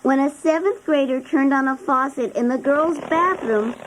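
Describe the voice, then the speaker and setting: A woman's voice: high-pitched, moderate pace, a little hiss or hum, natural voice, poor audio. A woman playing a schoolchild who narrates class adventures with wonder in a children's story. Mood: wide-eyed recounting.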